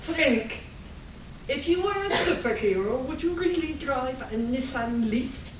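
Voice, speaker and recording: Across a roomy space comes a woman acting a smug supervillain. She is high-voiced and unhurried, mocking and gloating through a comedy radio play. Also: expressive. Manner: deliberate, mocking. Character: scornful, gloating female supervillain in a laboratory. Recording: roomy, distant live convention recording with noise.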